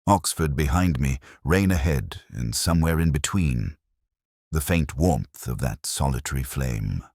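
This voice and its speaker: A man playing a weary, reflective older police detective, narrating a detective drama in a measured, literary, melancholic way. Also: rumbling low, animated, a little mellow, breathy edge, no echo, studio quality, exaggerated voice. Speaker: first-person narrator.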